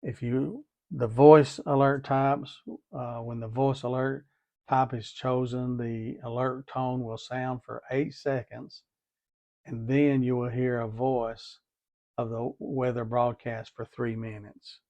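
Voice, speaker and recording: Man with an even, flat-pitched instructional delivery. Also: slow pace, no room sound. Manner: methodical, repeats steps as he goes. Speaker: DIY product demonstrator. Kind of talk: hands-on step-by-step tutorial narration.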